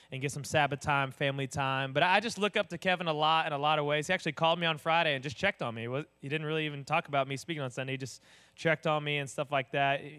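A young man, an associate pastor with a booming voice, telling stories in a casual, animated sermon. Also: clear, resonant tone. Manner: animated storyteller, acts out voices.

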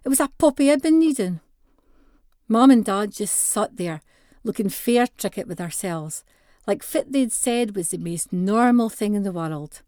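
A woman's voice: mid-range voice, unhurried, no echo, clean studio sound. A woman with a North-East Scottish accent expressively reading a first-person short story in dialect. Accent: North-East Scottish (Doric). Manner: expressive, acts out dialogue in dialect.

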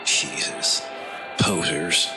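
A man's voice: very slow, loud music behind the voice. An actor playing a jaded, slangy young man giving a dismissive casual street interview. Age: young adult. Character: jaded slangy male millennial interviewed for news. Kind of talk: casual vox-pop talk.

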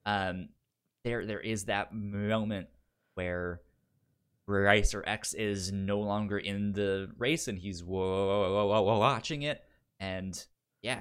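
Male podcast co-host, lowish voice, slow and halting, stutters on words, casual movie talk. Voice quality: stutters, repeating sounds and words. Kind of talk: casual film discussion.

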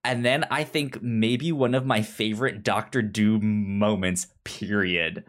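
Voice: medium pitch, fairly even intonation. A podcast co-host chatting casually about comics, stammering and often repeating the starts of words. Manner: halting, stammering, repeats word beginnings. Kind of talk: casual comic book discussion.

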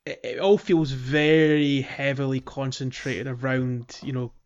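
A man's voice: mid-range voice, steady tone, measured pace, highs cut off, soft background music. A man hosting a casual gaming podcast, chatty and steering the conversation. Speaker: podcast host.

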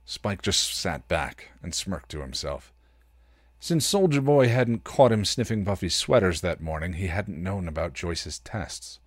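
Deep-voiced man narrating a story. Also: expressive, unhurried, dry recording, studio quality. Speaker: podcast host reading fan fiction.

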